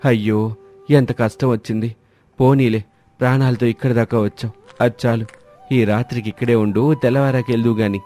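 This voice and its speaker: An actor playing a kind, stubborn village man who lives alone, speaking gently and reassuringly. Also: medium pitch, fairly even intonation, fairly quick, faint music behind, lightly acted.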